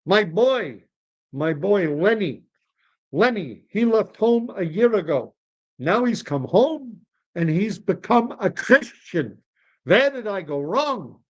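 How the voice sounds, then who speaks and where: Man putting on a lamenting old father, pitched up. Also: sing-song, slow, lo-fi sound, heavily acted. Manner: exclaiming, repetitive, demonstrative.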